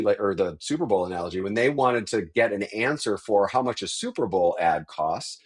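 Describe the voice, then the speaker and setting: A man's voice: on the low side, husky. A man who is a guest on a tech podcast, explaining industry shifts in a thoughtful, conversational way. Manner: thoughtful, explanatory, with frequent restarts.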